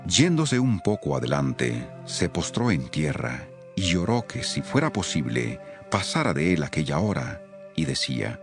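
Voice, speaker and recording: A male narrator with a very low voice reads scripture as a solemn story. His intonation is animated and wide-ranging. Faint music plays underneath. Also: a little slow.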